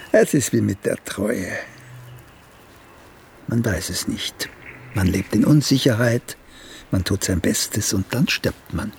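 A gravelly old man of letters in a radio play, vain and sardonic. He speaks slowly and theatrically, with wide swings of pitch. The phrases sometimes sink into breathiness. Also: a little mellow, slight background noise, full character voice. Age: older. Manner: slow, theatrical, drifting into wry chuckles. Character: old, vain, sardonic once-celebrated playwright. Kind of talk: radio drama acting.

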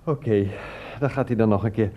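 A man's voice: lowish voice, a little fast, highs cut off, slight background noise, close to an everyday voice. An actor playing a bumbling, evasive man in a radio comedy drama, stammering and placating as he goes. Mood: hesitant, flustered.

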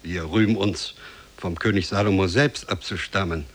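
Husky, haughty, greedy nobleman. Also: low-pitched, steady tone, moderate pace. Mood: grudging, greedy. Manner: haughty, condescending. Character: haughty, mocking, greedy nobleman, father of bride. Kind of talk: 1950s German radio play acting.

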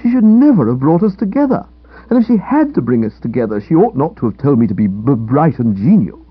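A man's voice: medium pitch, big pitch swings, fairly quick, breathy edge, no echo. Guy doing a grumbly posh english writer chap, young and sorry for himself, comic audiobook, voice jumps all over, muffled lo-fi sound. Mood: aggrieved, dramatic. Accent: upper-class English. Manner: grumbling, theatrical, self-pitying. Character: gloomy, aggrieved young male writer friend, upper-class English. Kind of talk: comic audiobook character dialogue. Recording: dull lo-fi radio-style recording.

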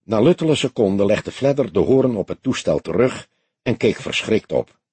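A man giving an expressive scripted narration of a detective novel. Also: fairly low pitch, normal speed, breathy edge, no echo. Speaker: audiobook narrator.

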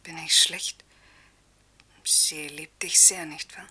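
An actress playing an anxious, lovestruck teacher in a radio play, needy and pleading, often dropping into a whisper. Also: female voice, low-pitched, fairly even intonation, takes it slowly, pushed and bright, breathy edge, exaggerated voice. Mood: tender, insecure. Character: anxious lovestruck female teacher, secretly phoning her lover. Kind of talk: German radio play acting.

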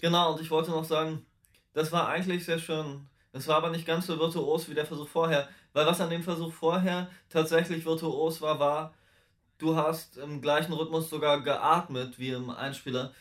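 A man's voice: fairly high pitch, little pitch movement, moderate pace, fairly bright tone. A man giving informal feedback to a performer as part of a radio drama directing team.

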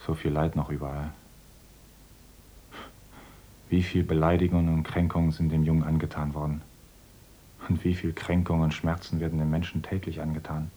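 A man's voice: very deep voice, little pitch movement, fast, mellow. An actor playing an idealistic young male teacher in a radio play, earnest and lecturing. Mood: gentle, earnest. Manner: earnest, lecturing, grows fervent. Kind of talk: radio drama acting.

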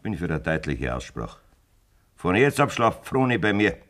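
An actor playing a domineering, lecherous farmer in a German radio play, blunt and scornful, with a Bavarian accent. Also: male voice, deep voice, very animated, normal speed, fairly bright tone. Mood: cold, defiant.

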